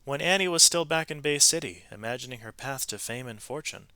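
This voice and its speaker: A man narrating a children's story aloud in an expressive, animated way, with varied emphasis from phrase to phrase. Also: medium pitch, brisk, dry recording.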